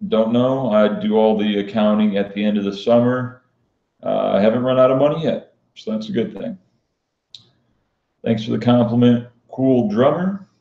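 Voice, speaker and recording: Casting: male poker vlogger, mellow monotone, unhyped casual Q&A delivery, dull lo-fi roomy recording. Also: on the low side, normal speed. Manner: flat, unhyped, matter-of-fact delivery. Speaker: professional poker player and vlogger hosting a live stream. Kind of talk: casual live-stream Q&A chat. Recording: dull, lo-fi sound in echoey room.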